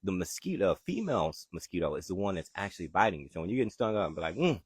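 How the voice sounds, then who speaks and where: A man's voice: low-pitched, big pitch swings, fast talker, breathy edge, no echo, clean studio sound. A man with a Southern accent, hosting a live gardening chat outdoors in his backyard and answering viewer questions in an easygoing, chatty way. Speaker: gardening show host.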